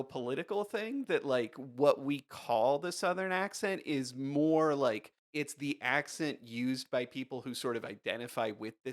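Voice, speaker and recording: Chatty Midwestern American male interviewer. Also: mid-range voice. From Indiana, US. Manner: chatty, friendly, lots of "like". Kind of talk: casual interview conversation.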